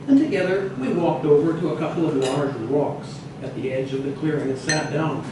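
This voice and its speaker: A man reading a humorous true story aloud as a storyteller, expressive, with some hesitant repeated words. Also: on the high side. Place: echoey indoor bistro. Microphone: distant microphone in the room. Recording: roomy, echoey, noisy, distant mic.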